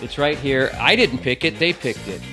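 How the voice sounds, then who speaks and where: A man's voice: medium pitch, expressive, moderate pace, bright, forceful tone, faint music behind. A man giving a casual, rambling product review as a channel host, with wry asides.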